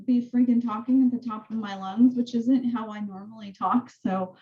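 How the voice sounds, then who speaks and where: A woman with a soft voice, casually walking her real estate team through training tools on a call. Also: fairly high pitch, fairly even intonation, moderate pace, narrow, tinny audio. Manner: chatty, rambling explanations. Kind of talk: casual team meeting walkthrough.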